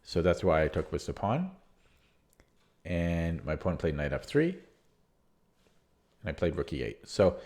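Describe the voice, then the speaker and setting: A man, losing his voice, hesitantly and candidly talking through a chess game he played, reading from his notes. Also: low-pitched. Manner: hesitant, many restarts, relaxed and candid. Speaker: chess channel host reviewing his own game. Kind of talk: casual game analysis from notes.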